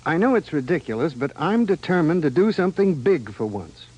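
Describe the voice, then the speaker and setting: An actor playing a timid but stubborn amateur big-game hunter in a radio drama, hesitant and polite. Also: male voice, on the high side, big pitch swings, a little fast, darkish tone, breathy edge, rough recording. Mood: determined.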